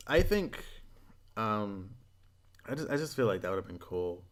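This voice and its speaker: A man hosting a casual podcast, retelling stories in a rambling, profane, animated way. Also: on the low side, measured pace. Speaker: podcast host.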